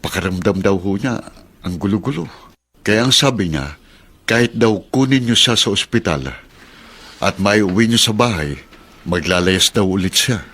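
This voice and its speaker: A man's voice: on the low side, lively intonation, moderate pace, pauses often, a bit bright, breathy edge, exaggerated voice. A man playing a humble, caring working-class taxi driver in a radio drama, deferential, hesitant and earnest. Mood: earnest, grave. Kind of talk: Filipino radio drama acting.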